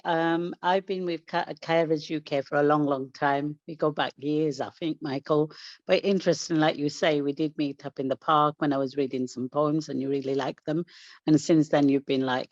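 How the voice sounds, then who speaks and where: Dull, lo-fi call audio with very little room sound carries a woman's low voice. She introduces herself as a guest poet in an informal, chatty way. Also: fairly even intonation, moderate pace, dry recording. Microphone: video call.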